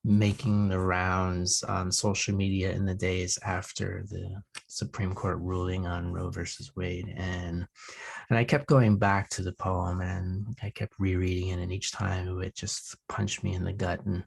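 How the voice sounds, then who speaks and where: A man with a lowish voice, husky and full of creak. He hosts a poetry interview conversationally, effusive and halting as he goes. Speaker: poetry show host.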